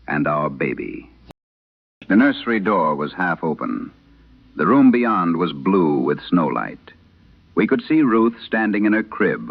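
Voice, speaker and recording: A man performing as a late-night radio host, solemnly reading an eerie letter in measured, deliberate phrases through an old radio filter, in a vintage horror drama style. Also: low voice, vocal fry, exaggerated voice. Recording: tinny band-limited old radio sound.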